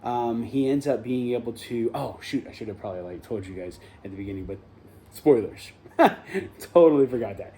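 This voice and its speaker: Guy doing a chill episode recap, warm mellow voice, kinda rambly, keeps correcting himself. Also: mid-range voice, normal speed, slight background noise. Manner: rambling, loose, self-correcting delivery. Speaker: show host reviewing a TV episode. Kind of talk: casual spoken recap and review.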